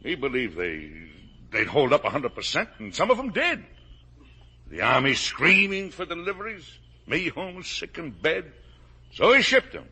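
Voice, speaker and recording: Gravelly, forceful middle-aged father. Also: on the high side, sing-song, normal speed, heavily acted. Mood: heated, defensive. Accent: American. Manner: emphatic, colloquial, insistent. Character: blustering middle-aged father, self-made factory owner, defensive. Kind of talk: 1950s American radio drama acting. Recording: old radio drama broadcast.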